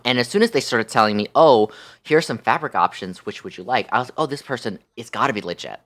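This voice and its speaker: A woman livestream host telling a story casually, in an animated, incredulous, slangy way. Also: very deep voice.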